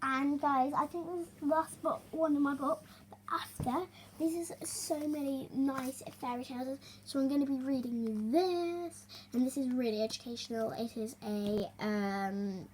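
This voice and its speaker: A young girl reading a children's storybook aloud, expressive but halting, with small stumbles and chatty asides. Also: sits high, slight background noise, rough recording. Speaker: young reader hosting a story video.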